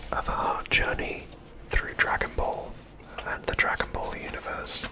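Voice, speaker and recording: A middle-aged man whispers his way through an ASMR narration. His voice is all breath, with no voiced tone. He reads gently and takes his time, leaving deliberate pauses between phrases. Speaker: ASMR host.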